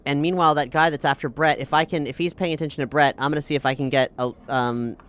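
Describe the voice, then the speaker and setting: A woman playing a tabletop game, talking casually and haltingly, often repeating herself. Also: deep voice, steady tone, clear, resonant tone. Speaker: player.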